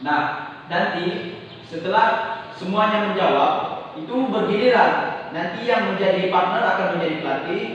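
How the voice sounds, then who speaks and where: A young man from Indonesia teaching a class, explaining slowly and pausing often on "nah". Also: high voice, fairly bright tone, a little hiss or hum. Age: young adult. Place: classroom indoors. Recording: echoey roomy classroom, distant mic, dull.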